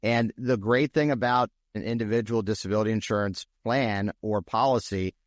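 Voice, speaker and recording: A man co-hosting a podcast, explaining things in a steady, slightly salesy way. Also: on the low side, normal speed, dry recording, studio quality. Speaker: podcast co-host. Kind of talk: casual podcast discussion.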